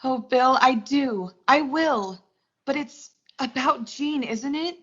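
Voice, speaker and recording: A woman's voice: sits high, slow, a bit bright, breathy edge, heavily acted. A young woman playing an anxious, lovestruck office worker in a 1940s-style suspense radio drama, dramatic and pleading. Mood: frightened pleading. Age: young adult. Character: anxious lovestruck female office worker narrating her ordeal. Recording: dull lo-fi audio, music behind.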